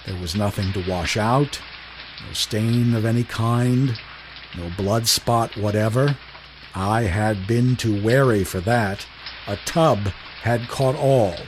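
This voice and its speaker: A man's voice: medium pitch, close to an everyday voice, poor audio. A man acting a down-on-his-luck night watchman in a 1930s radio drama, telling his story in a wry, colloquial, hesitant way. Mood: hushed, macabre reading. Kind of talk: first-person radio drama narration. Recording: voice over steady rain noise. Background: rain.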